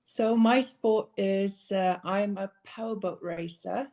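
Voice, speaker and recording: Young Norwegian-raised woman, casual and relaxed. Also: medium pitch, slow pace. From Norway. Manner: relaxed, laughs easily, short hesitant restarts. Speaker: guest, powerboat racer. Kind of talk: casual podcast interview.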